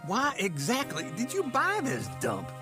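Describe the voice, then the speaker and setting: A man playing a lazy, wheedling old drunkard of the Old West in a video game, with a gravelly American rural Western drawl and a theatrical, needling manner. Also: sits high, wildly swooping pitch, unhurried, over music, full character voice. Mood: mocking. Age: older. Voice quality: gravelly, crackly. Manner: theatrical, cackling, folksy whining and needling. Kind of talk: video game cinematic acting.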